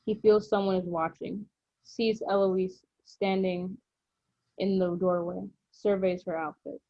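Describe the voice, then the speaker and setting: A woman's voice: medium pitch, flat delivery, measured pace, dark tone, no echo. A woman reading stage directions as scripted narration for a drama table read, over slightly dull call audio.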